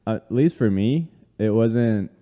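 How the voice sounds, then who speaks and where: A man in his thirties from Colombia, a guest telling his life story in a relaxed way, with many fillers. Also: on the low side, takes it slowly, big-room sound. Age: 30s. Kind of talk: casual interview conversation.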